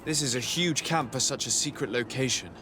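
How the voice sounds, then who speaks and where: An actor playing a polite, inquisitive diplomat in a video game, with a formal and composed manner. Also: male voice, medium pitch, brisk, bright, forceful tone, slight background noise, close to an everyday voice. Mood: probing. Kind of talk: video game dialogue acting.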